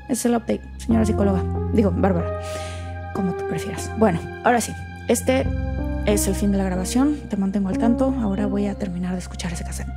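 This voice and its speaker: A woman's voice: medium pitch, fast talker, over music, close to an everyday voice. A woman playing a guarded, irritable young woman with a Mexican accent, speaking casually and brusquely in an audio drama. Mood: wry, casual. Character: guarded, irritable young woman clearing out her late father's house. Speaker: lead actor in an audio drama. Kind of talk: naturalistic audio fiction acting.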